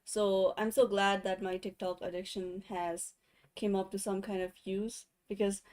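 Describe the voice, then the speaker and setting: A woman's voice: mid-range voice, level tone, a little slow, darkish tone. A woman from Nepal with a Nepali accent, a content creator chatting cheerfully about her videos. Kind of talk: casual chat.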